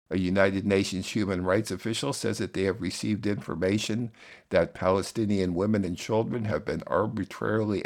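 A man with an American accent and a very low-pitched voice hosts a radio news show. He reads a scripted summary of world stories in the manner of a program introduction. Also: a little mellow.

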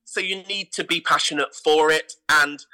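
A man's voice: on the high side, steady tone, normal speed, pushed and bright, poor audio. A British man chatting enthusiastically and casually as a theatre director being interviewed on a podcast.

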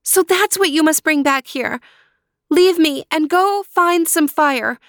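A trapped young girl pleads in a high, urgent voice, as a woman puts her on for a storybook. Her words press forward with worry. The sound is close and dry. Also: slow pace, fairly bright tone, no room sound, full character voice. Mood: pleading.

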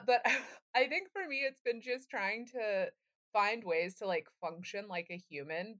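A woman co-hosting a podcast in casual, sweary chat, with a wry, self-deprecating and playfully dramatic manner. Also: mid-range voice. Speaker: podcast co-host.

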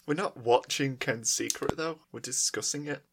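A man co-hosting a casual podcast over an online call, making deadpan, dry quips. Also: medium pitch, normal speed, gravelly, a little hiss or hum. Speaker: podcast co-host. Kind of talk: casual chat. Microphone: remote over an online call.

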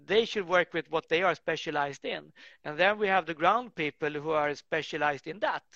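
A man's voice: on the high side, moderate pace, vocal fry, bright, forceful tone, no room sound, dull audio. A man speaking English as an in a casual interview, rambling with many restarts and fillers. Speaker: guest, tree work business owner.

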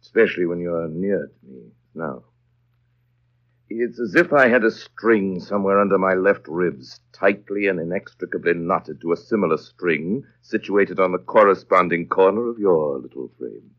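Muffled old radio play, man acting a brooding manor master, really low voice, theatrical, commanding but tender. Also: normal speed, close to an everyday voice. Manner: theatrical, musing, clipped asides. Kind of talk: 1930s radio drama acting. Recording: dull lo-fi 1930s radio recording.

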